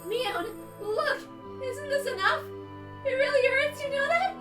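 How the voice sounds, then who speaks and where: A woman's voice: very high voice, unhurried, pitched above the performer's own voice. A woman voicing a frightened but defiant teenage girl in a dramatic visual novel reading, intense and pleading, breaking into sobs. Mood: desperate, tearful pleading. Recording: roomy, distant mic, music behind.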